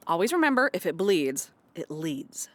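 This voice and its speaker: A woman's voice: lowish voice, sing-song, moderate pace, no room sound. An actress playing a droll, teasing woman in a comedy audio drama.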